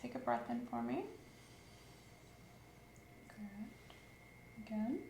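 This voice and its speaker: A woman speaking softly and slowly as a clinician in an ASMR roleplay exam, often dropping to a whisper. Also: medium pitch, huge pitch swings, echoey room, background noise. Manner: slow, gentle, instructive, often whispering. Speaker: ASMR creator playing an examining clinician. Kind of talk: soft-spoken roleplay physical exam.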